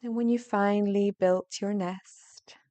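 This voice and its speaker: Slow, mellow woman guiding relaxation. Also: mid-range voice, steady tone. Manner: soothing, gentle, drawn-out phrases with long pauses. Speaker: yoga nidra meditation guide. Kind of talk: guided relaxation narration.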